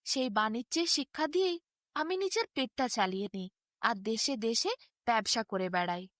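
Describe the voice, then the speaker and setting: A woman's voice: a little high, moderate pace, a bit bright, dry recording, clean studio sound, voice pushed down, natural voice. A woman voicing a mild, lonely male merchant who has lost his memory, hesitant and bewildered, in a fairy tale. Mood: hesitant, wistful.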